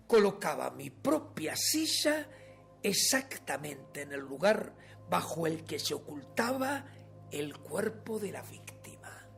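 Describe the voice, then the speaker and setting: A Uruguayan actor playing a paranoid, guilt-ridden murderer in a theatrical radio horror monologue, with intense, halting delivery. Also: male voice, fairly high pitch, vocal fry, a bit bright, exaggerated voice. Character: guilt-maddened murderer confessing, paranoid, increasingly frantic man. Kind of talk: theatrical dramatic reading of a horror story.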